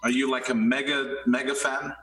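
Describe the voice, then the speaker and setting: A man hosts a podcast interview with an upbeat, complimentary manner and a bright, tense tone. He is a bit far from the mic in a roomy space with faint background hum. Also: mid-range voice, little pitch movement, normal speed. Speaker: podcast host. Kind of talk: casual interview chat.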